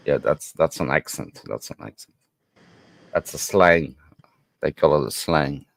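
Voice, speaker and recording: Very deep-voiced blunt Belgian man. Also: expressive, a little slow, creaky, dry recording. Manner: blunt, argumentative, repeats himself. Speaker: streamer. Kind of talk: casual live-stream chat.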